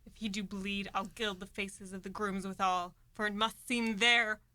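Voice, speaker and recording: A woman's voice: mid-range voice, flat delivery, normal speed, sharp tone, close to an everyday voice. A woman acting a scornful, scheming noblewoman in a Shakespearean radio play, speaking verse with commanding urgency. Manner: commanding, dramatic, urgent Elizabethan verse delivery.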